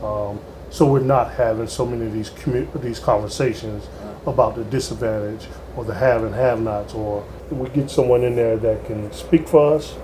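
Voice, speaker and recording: A man speaks earnestly in a mid-range voice, pausing often to find his words. He is in a small barbershop, and an air conditioner hums clearly behind him. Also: medium pitch, steady tone, noisy background. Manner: hesitant, many "um"s, earnest. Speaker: panelist. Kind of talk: casual discussion.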